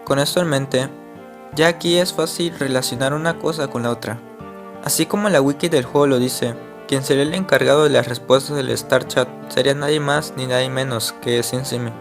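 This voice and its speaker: A man's voice: medium pitch, fairly quick. A man narrating an internet mystery video in a scripted, explanatory way, asking the viewer rhetorical questions. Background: faint guitar music.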